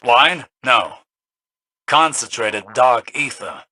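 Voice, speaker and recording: A scholarly older researcher for a video game. His voice is mid-pitched and strikingly forceful and bright. He is grave and formal, setting out findings with clipped precision. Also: animated, slow pace, no echo, studio quality, full character voice. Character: grave scholarly older male researcher reading translated logs. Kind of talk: scripted game dialogue.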